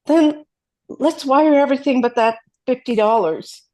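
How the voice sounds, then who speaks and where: A woman's voice: sits high, a little slow, warm tone. A woman playing a frightened, desperate mother in a script read, hesitant and stammering. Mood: nervous, stammering. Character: frightened, desperate mother under a kidnapper's orders. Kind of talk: dramatic script table read.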